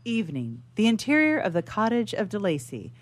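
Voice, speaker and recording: A woman narrates a radio play with mid-pitched delivery. She reads the stage directions dramatically, with faint music playing underneath. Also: huge pitch swings, fairly quick.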